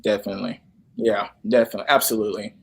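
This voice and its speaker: A man's voice: lowish voice, fairly even intonation, very fast, vocal fry. A young man chatting casually as an interview guest, often repeating himself for emphasis. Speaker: guest, actor.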